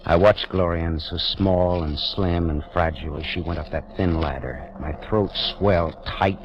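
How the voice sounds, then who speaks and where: Hardboiled noir detective, low voice, wry and terse, muffled old radio sound with music. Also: male voice, noisy background. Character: hardboiled male private eye, wry, rattled, narrating his case. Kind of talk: 1940s radio noir acting.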